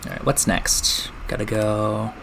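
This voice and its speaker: An American man chatting casually during a game stream, wry and quick to swear. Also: fairly low pitch, monotone, creaky, pushed and bright, a little hiss or hum. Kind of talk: casual chat while gaming on a live stream.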